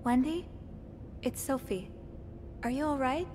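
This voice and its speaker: Young female maid for a narrative game: soft, curious, caring, naturalistic, slightly high voice over clear background rumble. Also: a little slow. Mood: concerned. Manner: hesitant, soft-spoken, sympathetic. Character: Sophie, gentle curious young woman hotel maid.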